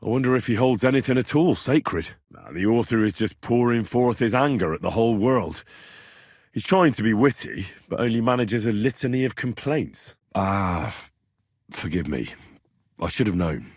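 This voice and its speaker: An earnest young medieval commoner for a video game. His voice is husky and swings expressively, with a cheeky, dogged, bantering way of speaking. The recording is close and dry. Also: fairly low pitch, expressive, moderate pace, a little mellow, dry recording. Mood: sceptical. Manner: colloquial, questioning, bantering. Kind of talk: video game character dialogue.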